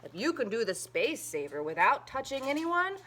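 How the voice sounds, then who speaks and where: A woman voicing a patient, instructive father in a children's storybook, explaining in a measured way with drawn-out emphasis. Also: a little high, very animated, fairly bright tone, a little hiss or hum, pitched above the performer's own voice.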